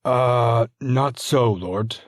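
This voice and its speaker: A man's voice: mid-range voice, very slow, no echo, clean studio sound, pitched above the performer's own voice. A man voicing a nervous male messenger soldier who speaks hesitantly and apologetically. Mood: deferential.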